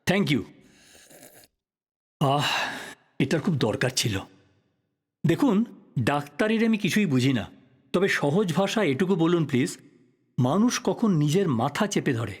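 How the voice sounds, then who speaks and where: A man's voice: on the high side, big pitch swings, measured pace, pauses often, husky, exaggerated voice. A man playing a polite but persistent investigative journalist in an audio drama, asking courteous questions conversationally. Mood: probing, courteous. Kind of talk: Bengali audio drama acting.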